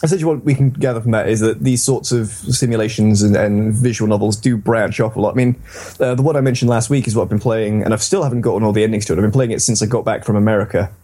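A man's voice: fairly low pitch, fairly even intonation, fast, few pauses, dark tone. A man hosting a casual, rambling and enthusiastic discussion about games. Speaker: podcast host.

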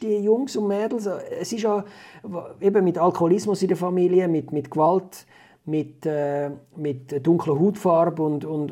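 A man with a Swiss German accent talks in a slightly high register with a very mellow timbre. He chats informally and rambles as he retells and reviews, in the manner of a co-host. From Switzerland. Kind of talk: casual chat, film review.

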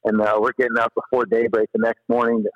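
A man telling stories casually as a podcast guest, in an animated, slangy, rambling way. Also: mid-range voice, fast, no echo, muffled recording. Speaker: podcast guest, paddler and former river guide. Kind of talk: casual storytelling in an interview.

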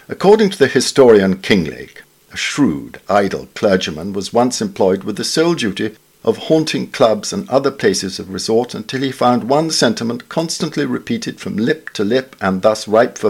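A man giving a measured, formal scripted reading of witty literary essays. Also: on the low side, slightly rough. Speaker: reader of newspaper essays.

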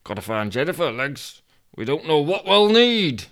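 A man's voice: fairly high pitch, sing-song, moderate pace, a bit bright, voice pushed up. Man acting a blustering, swooping, foul-mouthed bloke. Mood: urgent. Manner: blustering, demanding, sweary. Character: belligerent, foul-mouthed man bossing his own legs.

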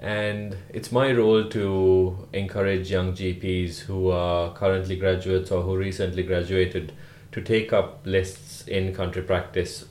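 A man from Sri Lanka, a rural GP, answering podcast interview questions in an unhurried way with many "uh" fillers. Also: deep voice, a little mellow, reverberant, slight background noise. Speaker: guest, rural GP.

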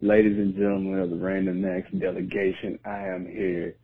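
A hoarse man who has lost his voice leaves a gloating, profane voicemail rant, cutting it like a wrestling promo. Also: low voice, level tone, normal speed, no room sound. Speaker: voicemail caller. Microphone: phone. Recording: tinny phone voicemail.

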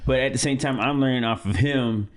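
A man co-hosting a podcast, talking in a loose, animated way, repeating himself and swearing casually. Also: medium pitch, fairly quick. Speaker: podcast co-host. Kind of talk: casual chat.

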